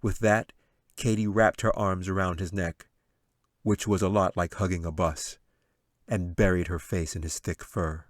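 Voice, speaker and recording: A man's voice: low voice, fairly even intonation, a little slow, no room sound, studio quality. A man reading scripted fantasy fiction as the author and narrator of an audiobook.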